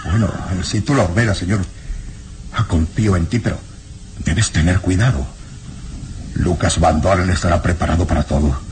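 An actor playing an earnest, vengeful village peasant in a radio serial, speaking emphatically and insistently. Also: male voice, low-pitched, moderate pace, long pauses, darkish tone, natural voice. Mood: reluctant, worried. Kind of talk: old radio serial acting. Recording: noisy old radio serial recording.

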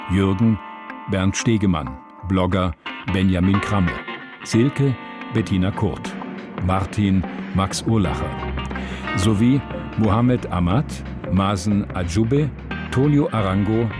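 A man reading scripted radio play credits in a measured announcer's style. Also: deep voice. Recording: guitar music behind the announcement.

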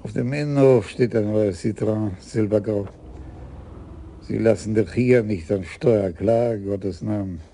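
Shrewd older police chief, wry, unhurried, low voice, radio play. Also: male voice, warm tone. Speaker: police commissioner. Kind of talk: radio drama acting.

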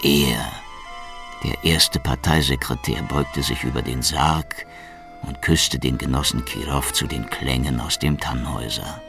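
A world-weary male secret policeman in a noir radio drama. His voice sits very low and crackles with gravel. He delivers everything with dry, cynical irony over clearly audible music. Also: fairly even intonation, a little slow, heavily acted. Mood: brooding, dry. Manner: sardonic, dry. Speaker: actor and narrator in a radio play. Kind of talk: noir radio drama, hard-boiled first-person narration.

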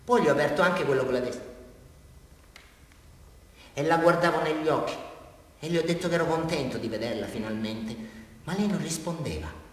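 An actor playing a deranged, naive murderer with a southern Italian accent, rambling and repeating himself childishly in a theatrical radio drama confession. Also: male voice, fairly high pitch, fairly quick, pauses often, natural voice. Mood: tender, dreamy. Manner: rambling, repetitive, childlike, addressing his listener. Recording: very echoey hall, far from mic.

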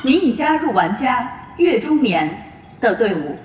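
A woman voicing an impersonal online game system announcer in an audio drama, formal and measured. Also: on the high side, big pitch swings, background noise. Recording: echoing system voice over music.

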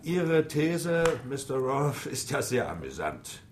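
Stubborn english club gent, mocking, super animated, radio play, bit of background noise. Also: male voice, medium pitch, slow. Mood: mocking disbelief. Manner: mocking, emphatic. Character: scoffing, stubborn English club gentleman, eager to bet. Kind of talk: German radio play acting.

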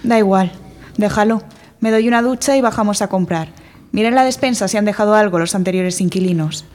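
A woman's voice: mid-range voice, a little fast, a little mellow, lightly acted. A woman acting a sceptical, complaining girlfriend in an audio drama, with a dry, sarcastic manner. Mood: resigned, annoyed.

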